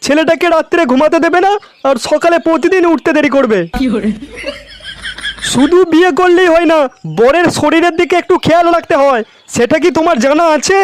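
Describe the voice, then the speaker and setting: A man as a high-pitched lecherous old father-in-law. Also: a little fast, slight background noise. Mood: bossy, scolding. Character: lecherous, bossy, foul-mouthed older father-in-law. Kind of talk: comic cartoon dubbing. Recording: music behind the voice.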